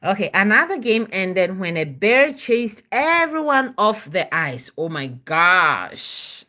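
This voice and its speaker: Mother reading picture books aloud; bright, highly animated voice, simple explanations, checks in with the child. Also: takes it slowly.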